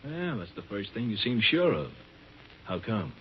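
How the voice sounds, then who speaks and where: A man's voice: lowish voice, sing-song, measured pace. An American man acting a dry, skeptical police sergeant in a 1950s radio drama. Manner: dry, sardonic. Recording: dull, slightly noisy old radio recording.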